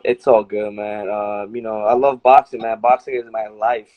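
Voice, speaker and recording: A man's voice: fairly low pitch, fairly even intonation, moderate pace, muffled recording, faint music behind. A man with a Brooklyn accent talking in a casual interview, tired after training, using laid-back streetwise slang. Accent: Brooklyn New York. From Brooklyn, New York. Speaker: boxer, interview guest.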